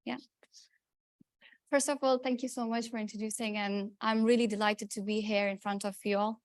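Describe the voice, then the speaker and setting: A young woman from Afghanistan with an Afghan accent, giving an earnest, measured personal speech to an audience. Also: mid-range voice, little pitch movement, no room sound, studio quality. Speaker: student speaker.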